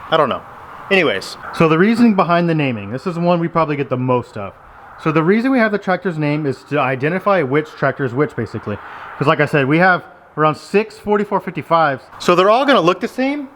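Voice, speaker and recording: A man's voice: on the high side, sing-song, quick pace, fairly bright tone, a little hiss or hum. A man in his thirties from Texas, casually talking to his phone camera in a big open metal barn, explaining things in an easygoing, rambling way. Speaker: farmer vlogger. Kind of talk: casual vlog talk to camera. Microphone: handheld phone at arm's length.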